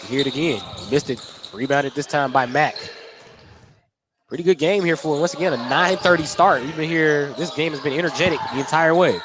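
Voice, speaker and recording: A man with a slightly high voice gives live basketball commentary for radio. He describes the play in short, clipped running calls. Loud gym noise and bouncing balls sit behind him. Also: a little fast. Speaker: play-by-play announcer. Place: indoor school basketball gym.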